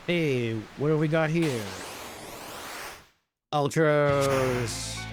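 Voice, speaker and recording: A man giving casual, rambling, explanatory commentary as he plays through a video game. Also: mid-range voice. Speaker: gameplay commentator. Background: game music.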